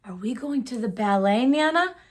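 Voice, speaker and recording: A woman's voice: a little high, lively intonation, moderate pace. A woman reading aloud, voicing a determined, hopeful young schoolgirl in a children's story. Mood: curious.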